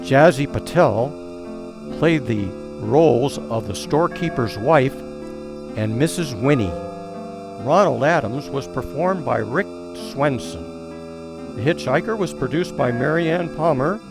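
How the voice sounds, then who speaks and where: A male announcer reads radio theater credits in a formal style. His pace is slow and deliberate, his pitch moves a lot, and guitar music plays clearly behind him. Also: rough recording.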